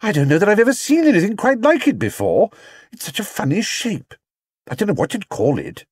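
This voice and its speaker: A man voicing a brisk, prim, doubtful mother who fusses and chides in a children's story. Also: high voice, big pitch swings, husky, no echo, clean studio sound, pitched above the performer's own voice, heavily acted.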